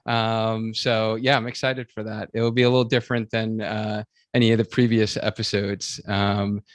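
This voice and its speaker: A man hosting a podcast in casual, enthusiastic interview chat, speaking haltingly with lots of "like" and "you know". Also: on the low side, flat delivery, dry recording, studio quality. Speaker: podcast host.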